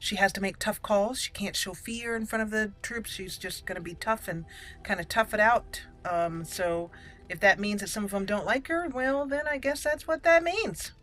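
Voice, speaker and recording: A woman's voice: mid-range voice, normal speed, a bit bright. A woman giving casual, playful let's play commentary on a game, thinking aloud as she goes. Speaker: gaming channel host and player. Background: game music.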